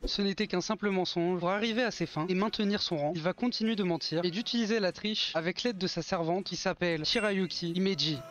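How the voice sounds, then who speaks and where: A man's voice: sits high, brisk, few pauses, a bit bright, soft background music. A man, casually reviewing an anime in a joking vlog and now and then snapping in mock exasperation at his editor. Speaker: anime YouTuber.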